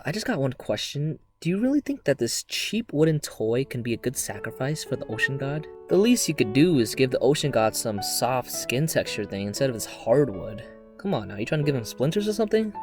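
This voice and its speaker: A man narrating an anime review in a casual, scripted video-essay style, with joking, mock-exasperated asides. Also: medium pitch. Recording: background music under voice. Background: music.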